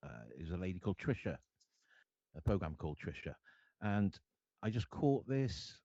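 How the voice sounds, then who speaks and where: A man from Cardiff with a Welsh accent, telling his life story to an interviewer in an earnest, halting way, often restarting his sentences. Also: low-pitched, big pitch swings, dark tone, no room sound. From Wales. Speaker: guest artist. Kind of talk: candid personal storytelling. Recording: dull lo-fi dry sound.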